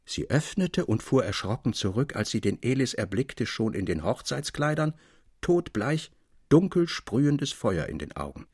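A man reading a literary tale as an expressive audiobook narrator. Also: mid-range voice, normal speed, breathy edge, no room sound, clean studio sound. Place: dry studio.

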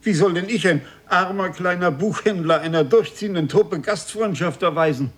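An actor playing a meek, poor little bookseller in a radio play, timid, deferential and pleading in manner. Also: male voice, high voice, normal speed, natural voice. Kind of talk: German radio play acting.

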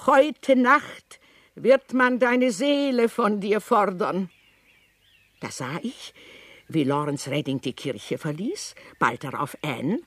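A man's voice: high-pitched, animated, takes it slowly, fairly bright tone, no room sound, exaggerated voice. An actor playing a young man who narrates village events vividly in a radio drama, an astonished observer. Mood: thundering. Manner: vivid storytelling, quotes with emphasis.

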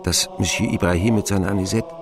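An actor playing an older man who wryly recalls his boyhood as the first-person narrator of a radio drama, expressive in his telling. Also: low voice, fast, a crowd in the background, close to an everyday voice. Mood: wry, puzzled. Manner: wry, expressive storytelling.

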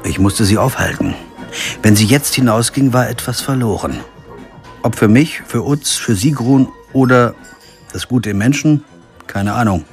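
An actor playing a wry, weary lawyer in a radio crime drama, narrating and speaking in a dry, laconic way. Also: male voice, mid-range voice, a little slow, lightly acted. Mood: wry, reflective. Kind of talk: first-person radio drama narration and dialogue. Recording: music behind the voice.

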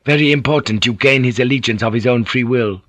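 A man voicing a confident samurai counselor, measured, deferential and persuasive, in an audiobook story. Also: mid-range voice, a bit bright, no echo, natural voice.

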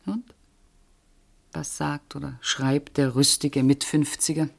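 A woman's voice: low-pitched, little pitch movement, takes it slowly, gravelly, exaggerated voice. An actress in a radio play playing a dry, sensible middle-aged woman who teases and advises her friend. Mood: dry, probing. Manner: dry, wry, matter-of-fact. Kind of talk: German radio drama dialogue.

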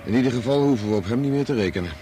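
An actor playing a bitterly disappointed grown son in a Dutch radio drama, prickly, argumentative and reproachful. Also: male voice, medium pitch, racing, dark tone, a little hiss or hum, lightly acted. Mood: subdued. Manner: argumentative, exasperated.

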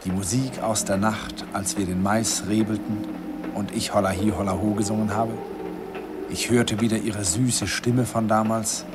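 A man's voice: fairly low pitch, moderate pace, over loud music, natural voice. An actor playing a wistful man narrating his youthful romance in a radio play, lyrical in manner. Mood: wistful, dreamy. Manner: lyrical, animated storytelling. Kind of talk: dramatic first-person radio play narration. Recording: slightly noisy old radio recording.

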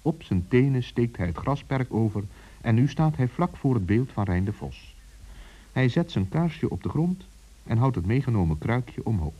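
A man's voice: mid-range voice, very animated, normal speed, warm tone, breathy edge, lo-fi sound. A man narrating a children's story intimately, speaking directly to listeners and characters.

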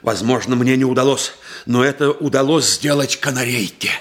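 An actor playing an astute, composed doctor-detective in a Russian radio play, speaking in a controlled, deliberate, accusing way. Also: male voice, mid-range voice, natural voice. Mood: accusing, explanatory. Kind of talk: measured theatrical Russian radio drama acting.

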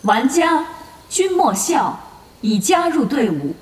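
An actress playing an impersonal female game system announcer, giving formal, measured notifications in an audio drama. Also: sits high, very animated, slight background noise, full character voice. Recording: reverberant voice over music.

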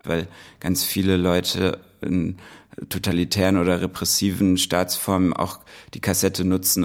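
A man's voice: low-pitched, vocal fry. A man, a musician, talking off the cuff in an interview. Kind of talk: spontaneous interview talk.

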